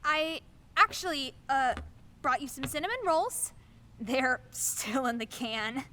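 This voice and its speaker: An actress playing an awkward, eager young neighbor who speaks haltingly and nervously in a radio play. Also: female voice, sits high, expressive, sharp tone, a little hiss or hum, heavily acted.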